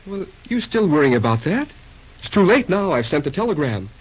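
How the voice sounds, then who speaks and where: A man's voice: fairly high pitch, big pitch swings, a little fast, husky. A man acting a restless, boyish young railroad worker in an old-time radio drama, with a folksy, exclamatory manner. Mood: confident. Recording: muffled old radio recording.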